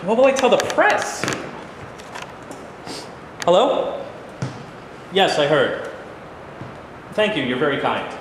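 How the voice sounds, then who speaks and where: A man's voice: high-pitched, big pitch swings, slow pace, long pauses, full character voice. A man in a staged reading playing a humble, uneasy newly sworn American president. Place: large room at a live staged reading. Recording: very echoey hall, distant hiss.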